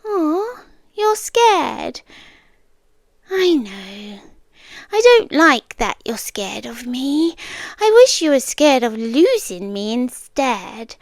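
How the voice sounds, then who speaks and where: British yandere girlfriend played by a woman: high, unhurried, coy and possessive, dry close ASMR roleplay. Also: very animated, no room sound, exaggerated voice. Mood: coy, teasing. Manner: coy, cooing, with soft giggles and whispery dips. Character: obsessive yandere girlfriend, sweetly menacing kidnapper.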